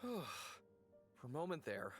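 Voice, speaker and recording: An actor playing a proud, stoic, dryly loyal knight in a video game. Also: male voice, on the high side, huge pitch swings, takes it slowly, fairly bright tone, faint music behind, heavily acted. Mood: weary, determined.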